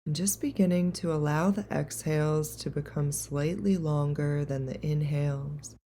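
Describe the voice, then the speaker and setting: A woman leading a guided meditation in a calm, gentle, unhurried and soothing way. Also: sits low, a little hiss or hum.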